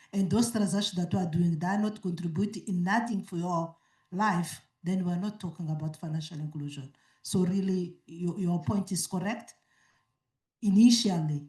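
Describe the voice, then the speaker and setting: A woman answering as a conference panelist, in a measured way that repeats phrases for emphasis. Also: on the low side, warm tone. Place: conference auditorium. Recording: echoey hall mic from a distance.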